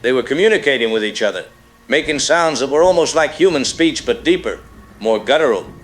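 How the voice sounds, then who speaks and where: A man playing a shaken rural cattle rancher, gravely telling his story as a caller in a radio drama. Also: mid-range voice, expressive, fairly quick, faint music behind, close to an everyday voice.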